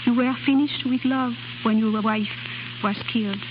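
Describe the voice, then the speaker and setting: An actress in a 1930s radio drama playing a distraught young foreign nightclub singer who passes as a noble ward, impassioned and theatrical. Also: female voice, a little high, fairly even intonation, moderate pace, natural voice. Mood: pleading, lovelorn. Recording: muffled, crackly 1930s radio broadcast.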